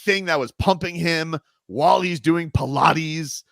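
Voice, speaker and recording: A man's voice: on the high side, unhurried, gravelly, fairly bright tone, no echo, studio quality. A man hosting sports talk radio, rambling casually with lots of fillers and laughs. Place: radio studio. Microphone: studio mic.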